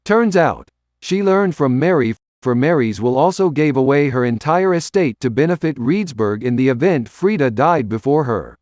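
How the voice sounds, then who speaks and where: A man's voice: medium pitch, expressive, normal speed, darkish tone, no room sound, clean studio sound. A man reading a scripted local history narration.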